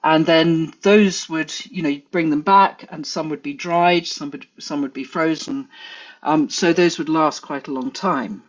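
English woman guest, musing and unhurried, muffled lo-fi recording. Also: sits low. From England. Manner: thoughtful, many fillers and restarts. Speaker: guest forager. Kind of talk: casual interview chat.

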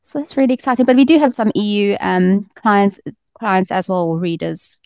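A woman talking easily about her work as an editor, her voice at an average pitch. She speaks in a chatty, loose way, full of ums and restarts. The sound is dry and close. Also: animated, normal speed, creaky, a little mellow, no echo. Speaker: online magazine editor. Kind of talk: casual interview chat.

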